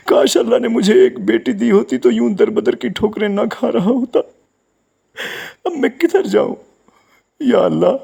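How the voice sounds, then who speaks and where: A man's voice: high-pitched, vocal fry, a little mellow, slightly rough, exaggerated voice. An actor playing a sorrowful, resigned old father in an Urdu moral audio drama, lamenting in a plaintive way. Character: sorrowful, wronged old father of three grown sons.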